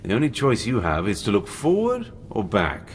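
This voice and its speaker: An actor playing an urbane, wry British army major with an English accent in a radio drama. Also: male voice, fairly low pitch, huge pitch swings, normal speed, slight background noise. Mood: wry, insistent. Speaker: army officer.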